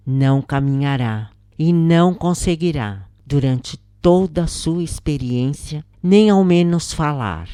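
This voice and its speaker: A Brazilian woman reading a scripted spiritual account as narrator, in a solemn, measured manner. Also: low-pitched, warm tone, dry recording, studio quality.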